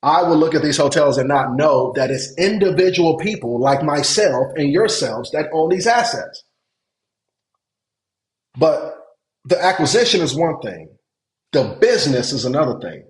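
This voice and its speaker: A man's voice: medium pitch, lively intonation, normal speed. A man from Nigeria coaching a webinar on hotel investing, repeating words for emphasis and checking that listeners follow. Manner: repeats words for emphasis, asks if it makes sense. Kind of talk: webinar teaching. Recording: echoey room.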